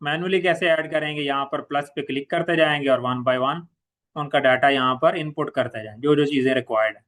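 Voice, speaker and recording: A man with a Pakistani accent giving an informal step-by-step software tutorial as a trainer, repeating key points and mixing in English terms. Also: fairly high pitch, fairly quick. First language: Urdu.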